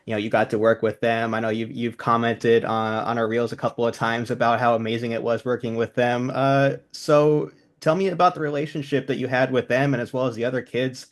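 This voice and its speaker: A man's voice: medium pitch, fairly even intonation, fairly quick, hardly any pauses. A man hosting a podcast, asking a question hesitantly, with many fillers and restarts. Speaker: podcast host. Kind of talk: casual podcast chat.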